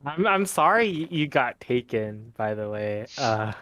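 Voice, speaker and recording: Bright-voiced man in casual game chat. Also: medium pitch, sing-song, a little slow, no echo. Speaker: tabletop game player.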